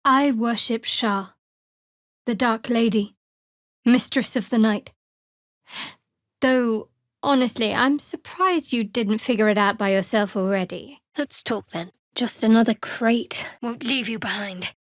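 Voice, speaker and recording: Fantasy game companion, actress: young female cleric of a dark goddess, higher-pitched, measured and sardonic, recorded close and dry. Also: no echo, natural voice. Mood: composed. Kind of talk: video game voice acting. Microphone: close, dry studio mic.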